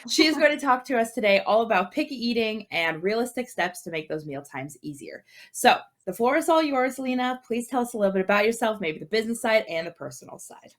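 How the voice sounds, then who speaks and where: Calm Canadian woman hosting. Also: fairly high pitch, fairly quick, few pauses. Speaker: podcast host, doula and women's health coach. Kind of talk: scripted intro then casual chat.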